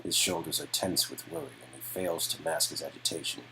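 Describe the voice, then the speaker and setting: Male narrator, deep register, scripted story-game narration. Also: lively intonation, a little fast, gravelly, pushed and bright, slight background noise, rough recording. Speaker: narrator performing game dialogue.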